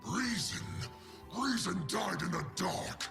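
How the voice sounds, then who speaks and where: A man's voice: sits high, animated, slow pace, gravelly, over music, full character voice. A man voicing a bitter, maddened ancient warrior god in a video game, with a menacing, theatrical delivery.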